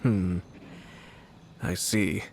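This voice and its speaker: An actor playing a calm, calculating young nobleman in a game, speaking in a measured, formal, polite manner. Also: deep voice, gravelly, a little hiss or hum, heavily acted. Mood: cool, measured. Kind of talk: scripted game dialogue. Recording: music behind the voice.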